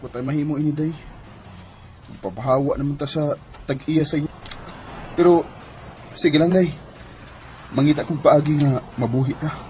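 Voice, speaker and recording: A man playing a poor, hardworking eldest brother in a radio drama, gentle, reassuring and plaintive. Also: a little high, fairly even intonation, normal speed, pauses often, slight background noise, natural voice. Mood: worried.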